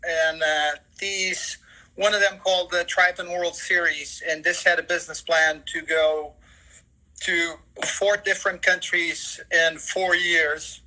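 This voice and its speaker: Bright-voiced Portuguese man, higher-pitched. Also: flat delivery, slow pace, rough recording. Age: 50s. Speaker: guest, sports events general manager. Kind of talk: interview answers on a livestream.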